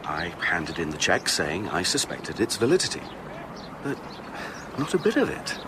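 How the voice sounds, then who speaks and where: An actor playing an earnest, indignant, uneasy Victorian gentleman with an English accent in a radio drama, emphatic and sometimes hesitant. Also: low-pitched, wildly swooping pitch, normal speed, noisy background. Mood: confiding.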